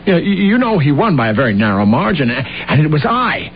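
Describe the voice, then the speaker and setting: A grandiose, deluded assassin. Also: male voice, a little high, very animated, fairly quick, a little hiss or hum. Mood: indignant. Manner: self-important, brooding inner narration. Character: grandiose deluded male assassin, middle-aged. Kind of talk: 1970s radio drama acting. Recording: muffled old radio broadcast.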